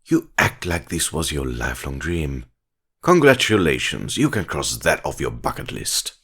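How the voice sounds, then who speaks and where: A man's voice: rumbling low, huge pitch swings, moderate pace, bright, forceful tone, husky, exaggerated voice. An actor playing a pompous, scornful villain professor in an audio drama, grandiose and condescending as he argues a prosecution. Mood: smug, triumphant.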